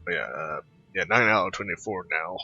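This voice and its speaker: Halting man with a harsh, sharp voice. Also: sits low, husky, no room sound, lo-fi sound, faint music behind. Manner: halting, repeats words, trails off. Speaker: gaming video host. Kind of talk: casual rambling game commentary.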